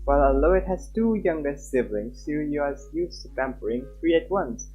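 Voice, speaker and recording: A man's voice: fairly high pitch, expressive, moderate pace, darkish tone, husky. Dull-sounding young male streamer, casual. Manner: chatty, loose, repeats himself. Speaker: livestreamer, host. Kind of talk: casual livestream chat while reading visual novel text aloud. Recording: dull lo-fi mic with background music. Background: game background music.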